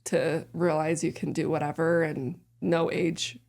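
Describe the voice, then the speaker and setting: A woman in her thirties with a deep voice, chatting casually and rambling a little as a podcast guest. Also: measured pace, vocal fry. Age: 30s. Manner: relaxed, rambling, many fillers. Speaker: podcast guest, host's sister. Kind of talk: casual family chat.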